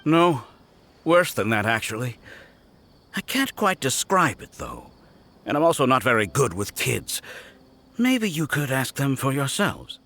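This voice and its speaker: A man playing a level-headed, dry toy shop worker who explains things in a measured way in a video game. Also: medium pitch, big pitch swings, fairly bright tone. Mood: explaining, resigned.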